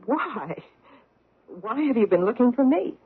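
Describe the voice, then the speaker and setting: A woman's voice: a little high, expressive, a little slow, husky. An actress playing a defensive, evasive housewife hiding her past, in a 1970s radio drama. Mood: guarded. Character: guarded middle-aged American housewife hiding her past. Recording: tinny old radio broadcast.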